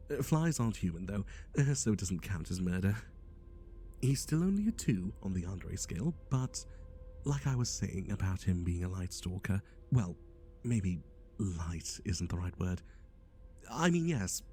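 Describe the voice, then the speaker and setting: A man's voice: low-pitched, mellow, slight background noise. A man playing a flippant, scatterbrained matchmaking agency boss in an ASMR roleplay, rambling offhandedly and muttering asides to the listener. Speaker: voice actor.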